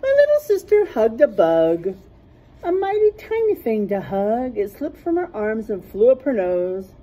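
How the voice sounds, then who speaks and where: A middle-aged woman reading a rhyming picture book aloud in a teacherly way, pausing to point out word pairs. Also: fairly high pitch, big pitch swings, mellow, poor audio. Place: small classroom, indoors.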